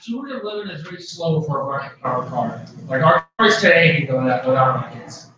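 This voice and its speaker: Young man with a bright, echoing voice. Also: a little high, lively intonation, a little fast, a little hiss or hum. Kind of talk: casual chat. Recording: very echoey room, distant mic, dull sound.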